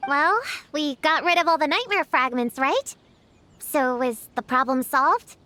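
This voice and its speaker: A woman voicing a tiny, excitable floating companion sprite who chatters brightly and calls herself by name. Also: high-pitched, measured pace, a little hiss or hum. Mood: chirpy. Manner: chirpy, speaks of herself by name. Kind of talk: video game voice acting.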